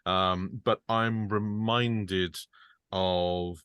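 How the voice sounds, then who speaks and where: Very deep, slow-spoken man. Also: steady tone, smooth, no room sound, lo-fi sound. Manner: halting, with long pauses and fillers. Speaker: actor on a script reading panel. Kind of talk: casual feedback discussion.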